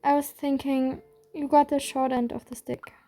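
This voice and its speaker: A woman reading a game's story aloud in a steady first-person voice. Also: sits high, moderate pace. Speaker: reader.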